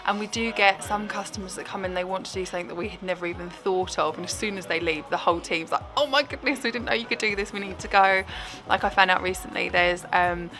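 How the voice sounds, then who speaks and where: A woman's voice: mid-range voice, fast talker. A woman in her twenties chatting animatedly in an interview, with some music behind her. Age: 20s. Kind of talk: casual interview answers.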